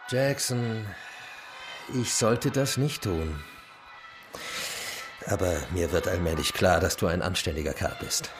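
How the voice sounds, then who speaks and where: An actor playing a stern, bullying US marshal in a German radio crime drama, with a commanding manner. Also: male voice, low-pitched, takes it slowly, pauses often, music in the background. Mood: grudgingly lenient. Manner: commanding, barking orders. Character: stern, bullying fake US marshal, grudgingly bribable.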